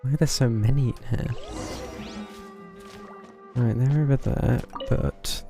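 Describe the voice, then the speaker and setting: A man's voice: medium pitch, steady tone, slow pace, gravelly. A man doing casual live gameplay commentary as a streamer, with game music behind him.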